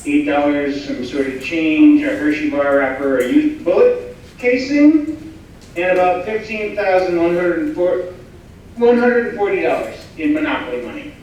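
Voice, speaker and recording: An actor playing a dutiful personal assistant, dry and deferential, in a staged comedy reading. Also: male voice, high voice, unhurried, darkish tone. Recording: very echoey room, distant mic, faint noise.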